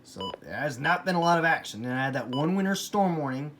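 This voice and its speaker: American man chatting casually over clear background noise. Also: a little high, lively intonation, fast. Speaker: hobbyist vlogger. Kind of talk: casual rambling show-and-tell of a radio collection.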